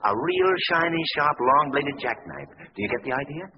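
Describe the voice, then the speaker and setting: A male actor playing an urbane, urgent gentleman sleuth in an old-time radio drama. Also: on the high side, lively intonation, normal speed, natural voice. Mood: urgent, wry. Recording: muffled, noisy old radio recording.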